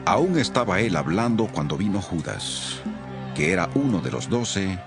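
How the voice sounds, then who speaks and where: A man's voice: lowish voice, huge pitch swings, unhurried, creaky, music in the background. A man narrating scripture from a script as a solemn storyteller.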